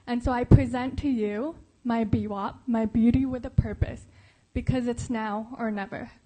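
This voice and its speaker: A young woman from the Philippines, a pageant contestant, giving an earnest speech and a measured scripted voice-over. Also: fairly high pitch, steady tone, dark tone, low-quality recording.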